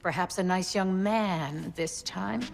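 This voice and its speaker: An actress playing an imperious, scheming politician's wife with a condescending, mock-polite manner in a video game. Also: female voice, fairly low pitch, slow pace, gravelly, soft background music. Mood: brisk, mock-polite. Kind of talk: cinematic video game acting.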